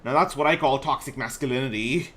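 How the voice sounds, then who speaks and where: A man's voice: mid-range voice, fast, fairly bright tone, breathy edge. A man streaming a game, reading aloud and commenting casually, often stumbling over words and repeating himself.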